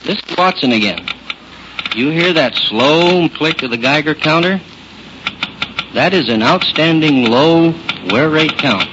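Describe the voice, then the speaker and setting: Man, vintage radio field report, matter-of-fact, muffled sound, clear vehicle noise. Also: on the high side, lively intonation, unhurried. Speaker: research supervisor, on-site reporter. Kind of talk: scripted radio test report. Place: inside a car in city traffic. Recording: muffled old radio, noisy car.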